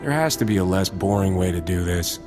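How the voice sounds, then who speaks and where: A man's voice: deep voice, animated, a little fast. A man playing a cocky, suave, roguish smuggler captain in a space video game. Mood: cool, confident. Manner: cool, cocky, flirtatious. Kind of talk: scripted video game acting. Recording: reverberant game hall, music behind.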